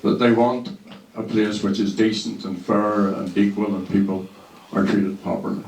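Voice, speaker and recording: A low-voiced man speaks earnestly to a meeting in a big hall. His voice comes from far off and rings with the room's echo. A faint hiss sits underneath. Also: steady tone, moderate pace, a little mellow. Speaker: panel chair and speaker at a political meeting. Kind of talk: public meeting, chairing and speaking. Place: large hall.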